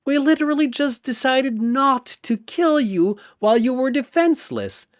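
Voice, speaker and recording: A man voicing a feisty, indignant teenage girl fighter with an animated, defensive delivery in a battle story. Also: extremely high pitch, moderate pace, no echo, studio quality, pitched above the performer's own voice.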